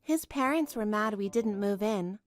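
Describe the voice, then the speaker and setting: A woman's voice: mid-range voice, normal speed, close to an everyday voice. A woman playing an anxious, earnest young wife who narrates her own troubles in a dramatised manga story. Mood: weary, aggrieved. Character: put-upon young wife, anxious, earnest, long-suffering. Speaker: narrator and lead of a manga dub. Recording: music behind the voice.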